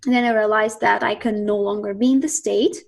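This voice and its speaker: A woman from Siberia, a guest talking reflectively about her life and work, often pausing with ums and restarts. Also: medium pitch, fairly even intonation, gravelly. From Russia (Siberia). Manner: reflective, thinks aloud with many ums and restarts. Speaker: guest, mindset coach. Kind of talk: casual interview talk.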